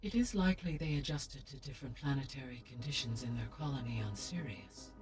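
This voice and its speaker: A calm artificial intelligence, voiced by a man, speaking in a formal, measured way. It sounds a little distant from the mic. Faint game music plays behind it. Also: medium pitch, close to an everyday voice. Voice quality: measured, formal, even delivery. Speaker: game character.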